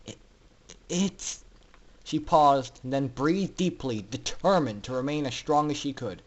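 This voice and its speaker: A man's voice: mid-range voice, animated, moderate pace. A man narrating a fan fiction story in a dramatic reading style.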